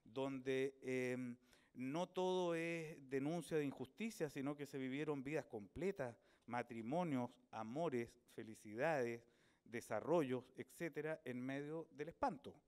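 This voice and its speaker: A middle-aged man from Chile with a Chilean accent, an author giving a reflective public talk at a book fair, thoughtful and unhurried as he searches for his words. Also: medium pitch, a little mellow, smooth.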